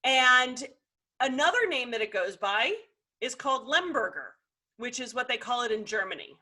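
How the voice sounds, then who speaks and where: A woman talks through a wine grape for viewers on a video call. Her voice sits a little high and sounds bright and clear-edged. She explains casually, with plenty of ums and small restarts. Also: lively intonation, moderate pace. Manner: informal, many fillers. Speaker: wine presenter.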